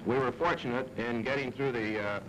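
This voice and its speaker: A man's voice: mid-range voice, steady tone, a little fast, a bit bright. An American man in his thirties, a military pilot giving a matter-of-fact press account on a 1940s newsreel. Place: indoor conference room. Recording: noisy old 1940s newsreel sound.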